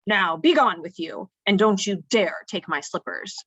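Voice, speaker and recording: A woman's voice: mid-range voice, expressive, a little slow, fairly bright tone, husky, no echo, exaggerated voice, rough recording. A woman voicing a pompous, grudging male talking elevator with a haughty, disdainful drawl. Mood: bored, dismissive.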